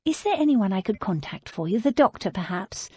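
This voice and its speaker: A woman voicing a polite, anxious young woman of genteel manner, speaking softly and hesitantly. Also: mid-range voice, sing-song, brisk, slightly rough. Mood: earnest.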